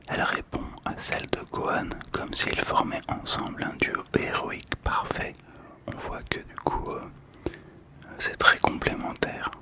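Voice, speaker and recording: Whispering man, soft ASMR commentary. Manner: soft, calm, gentle whispering. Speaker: host of a manga card ASMR channel.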